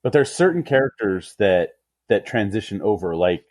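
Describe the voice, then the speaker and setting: A man with a fairly low voice co-hosts a comics podcast. He chats casually, thinking aloud in broken, halting phrases. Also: sing-song, darkish tone. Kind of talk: casual chat.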